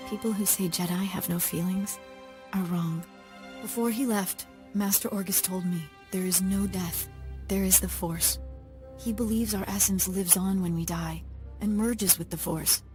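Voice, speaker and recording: An actress playing a dignified young woman leader who speaks gently and formally. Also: mid-range voice, fairly even intonation, unhurried, close to an everyday voice. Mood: earnest. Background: game score music.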